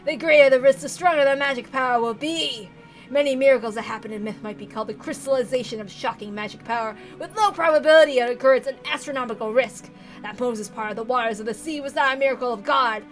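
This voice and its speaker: Woman acting a ranting, high-voiced deranged old patriarch. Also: faint music behind, natural voice. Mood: grave, fervent. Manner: theatrical howling rants, drawn-out pleading. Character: deranged, tyrannical old patriarch, obsessed with lost love.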